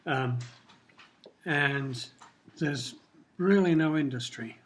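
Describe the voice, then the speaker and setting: An older man from Kenya whose first language was Swahili, speaking in a measured, reflective way while answering questions in an interview. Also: a little high, slight background noise. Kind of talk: casual interview talk.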